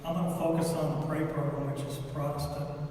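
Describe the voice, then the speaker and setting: A man's voice: fairly high pitch, level tone, brisk, mellow, slight background noise. A man giving a scouting ministry slide presentation to a church men's group, talking in a loose way with many fillers, saying he is losing his voice. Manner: rambling, filler-heavy presenting. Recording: echoey hall, mic far off.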